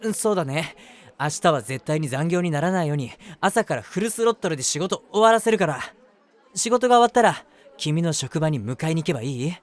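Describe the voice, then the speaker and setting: A man acting a lively, high-voiced lovestruck young guy. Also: a little fast, natural voice. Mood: flustered, eager. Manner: chatty, self-deprecating, earnest, hesitant asides. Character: eager, lovestruck, easily flustered young man, eldest brother. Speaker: voice actor. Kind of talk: Japanese romantic audio drama monologue.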